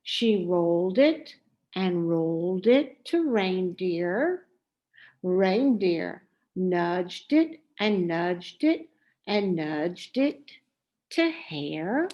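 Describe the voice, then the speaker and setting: A woman reading a children's story aloud in a deliberate, expressive way. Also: fairly low pitch, dull audio. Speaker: storybook reader.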